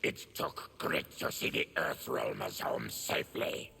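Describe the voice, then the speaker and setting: Super deep croaky mutant brute, grim and slow, swoops around. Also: male voice, expressive, sharp tone, a little hiss or hum, heavily acted. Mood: grave, grateful. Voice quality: gravelly growl. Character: grim, diseased, monstrous male mutant warrior. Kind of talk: video game character dialogue.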